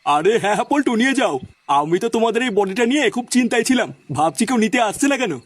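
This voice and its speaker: Guy doing an excitable cartoon doctor, husky but really high, quick talker. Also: no echo. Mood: polite, grateful. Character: excitable adult male cartoon doctor, polite but easily flustered. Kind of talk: Bengali cartoon comedy dubbing.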